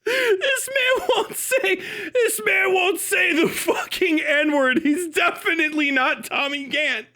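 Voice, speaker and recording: High-pitched, bright-voiced man mocking on stream. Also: takes it slowly. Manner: mocking, emphatic, repeats himself for effect. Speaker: live streamer reacting to a video. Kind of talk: casual, profane commentary on a video.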